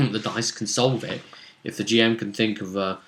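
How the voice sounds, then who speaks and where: Male, game master in relaxed, conversational recap of a tabletop session. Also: lowish voice, moderate pace, a little hiss or hum. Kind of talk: casual chat recapping a tabletop role-playing session.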